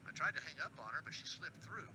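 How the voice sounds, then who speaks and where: An exasperated radio call screener mutters wry asides, played by a man. His voice rises and falls a lot, and a steady noise sits behind it. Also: fairly high pitch, quick pace.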